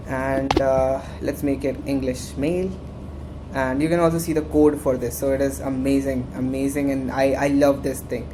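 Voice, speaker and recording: A man with an Indian accent walks through a coding tutorial in a loose, conversational way, often repeating phrases to drive a point home. The recording carries a clear layer of background noise. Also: medium pitch. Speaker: workshop instructor. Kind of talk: casual screen-share tutorial.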